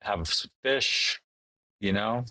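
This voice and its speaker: A middle-aged man chatting casually as an interview guest, agreeable and somewhat halting. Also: lowish voice, sharp tone, dry recording. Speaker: podcast guest, finance professional. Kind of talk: casual conversation.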